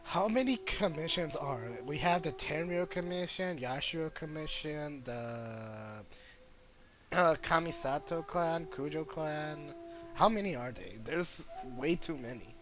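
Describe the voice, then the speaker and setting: A man's voice: a little high, expressive, measured pace, over music. A man giving casual gameplay commentary, rambling and thinking aloud as he plays. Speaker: gaming streamer. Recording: noisy mic with game sound.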